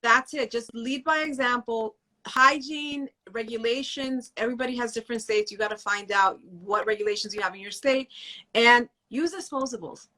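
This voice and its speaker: A woman's voice: on the high side, normal speed, bright, forceful tone, rough recording. A woman guest educator speaking earnestly in a casual podcast chat.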